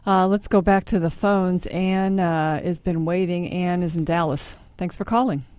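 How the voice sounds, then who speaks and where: Low-voiced woman radio host. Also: fairly even intonation, darkish tone, no room sound. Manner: measured, leading questions. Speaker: radio talk show host.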